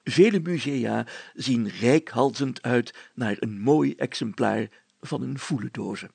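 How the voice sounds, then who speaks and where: A man's voice: medium pitch, slow, vocal fry, darkish tone, breathy edge, no echo, full character voice. A man voicing a pompous, easily flustered older mayor in a children's story, stammering and over-articulating as he goes. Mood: flustered, scholarly. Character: fussy, flustered, pompous older male mayor reading aloud. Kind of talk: children's audio story character voice.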